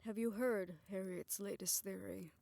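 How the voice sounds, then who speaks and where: A woman playing a weary, affectionate older woman in an intimate romantic audio roleplay, speaking softly and reflectively in a measured way. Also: medium pitch, fairly even intonation, creaky. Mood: apprehensive, thoughtful.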